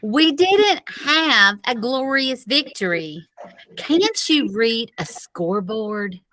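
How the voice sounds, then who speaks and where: A woman acts out a bossy teenage girl, the head cheerleader of a children's audiobook. Her fairly high voice swings widely in pitch as she scolds in slow, exasperated phrases, recorded close and dry. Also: very animated, fairly bright tone, no echo, heavily acted, low-quality recording. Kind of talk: audiobook character dialogue.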